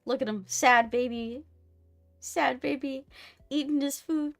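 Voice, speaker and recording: A woman's voice: sits high, steady tone, a little slow, vocal fry. A woman talking casually and teasingly as she hosts a gaming live stream. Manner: playful, teasing. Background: music.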